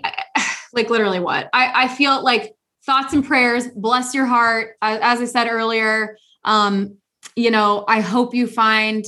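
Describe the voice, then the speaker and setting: A young woman co-hosting a podcast, chatting casually in an animated, sarcastic way and often laughing. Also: mid-range voice. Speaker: podcast co-host. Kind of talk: casual chat.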